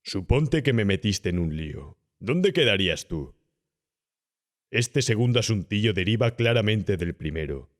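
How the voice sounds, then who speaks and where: A man voicing a cynical, calm, domineering young gentleman body snatcher who talks persuasively and condescendingly in an audiobook horror story. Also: sits low. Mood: cold, insistent. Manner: smooth-talking, persuasive, condescending.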